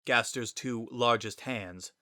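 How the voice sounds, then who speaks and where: A man's voice: mid-range voice, takes it slowly, bright, forceful tone, no echo, studio quality. A man narrating a dramatic story as a scripted reading.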